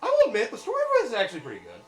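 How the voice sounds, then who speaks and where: A man giving casual, animated and sometimes sweary banter as a let's play commentator. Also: very high voice, racing. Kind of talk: casual, reactive gaming banter. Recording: roomy, echoing sound.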